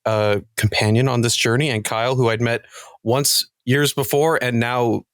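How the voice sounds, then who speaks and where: A man talking casually as a podcast guest, thinking aloud in a halting, reflective way. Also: mid-range voice, steady tone, fairly bright tone, clean tone, no room sound, clean studio sound. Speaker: podcast guest, cast member of a role-playing show.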